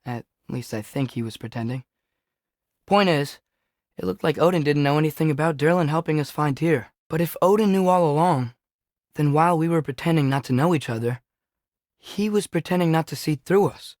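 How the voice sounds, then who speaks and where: Earnest, higher-voiced teenage boy played by a man. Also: a little fast, darkish tone, no room sound, clean studio sound, natural voice. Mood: casual, thoughtful. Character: curious, earnest teenage boy, adventurer in Norse myth. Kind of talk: naturalistic video game voice acting.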